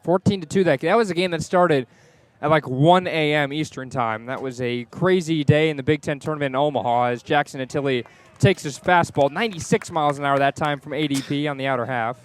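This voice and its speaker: A man's voice: fairly high pitch, fairly quick, no room sound. A man calling live baseball play-by-play on the radio from the ballpark. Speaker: play-by-play sports announcer. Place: outdoors at a baseball stadium.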